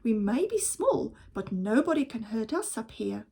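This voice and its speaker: A woman's voice: on the high side, big pitch swings, a little slow, gravelly, mellow, slightly rough, exaggerated voice. A woman voicing a wide-eyed young boy in a children's story, with a childlike, exclaiming delivery. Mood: content.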